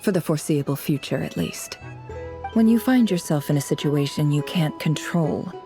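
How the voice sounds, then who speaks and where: A woman playing a shrewd, polite intelligence operative in a video game, measured and knowing. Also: low-pitched, dark tone, lightly acted. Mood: resigned. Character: composed, shrewd woman spymaster, politely calculating. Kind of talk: video game cutscene acting.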